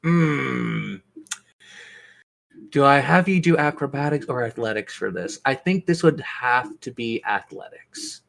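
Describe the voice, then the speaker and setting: A man acting as game master, narrating and refereeing a tabletop game in a suspenseful, drawn-out way. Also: mid-range voice, expressive, creaky, a bit bright.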